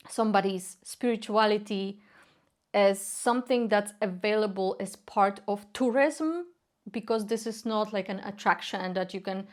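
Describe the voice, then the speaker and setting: Polish-accented young woman, earnest, halting fillers, mid-range voice, casual explainer. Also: medium pitch. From Poland. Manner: earnest, frequent filler sounds. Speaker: folk magic educator. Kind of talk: casual explanatory talk to camera.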